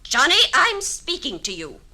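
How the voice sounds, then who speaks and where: Crisp, stern schoolteacher, high swooping voice. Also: female voice, wildly swooping pitch, a little slow, sharp tone, full character voice. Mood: angry, scolding. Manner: scolding, commanding. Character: stern angry old-fashioned female schoolteacher. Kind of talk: 1950s radio dramatization. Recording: 1950s radio recording, faint noise, clicks.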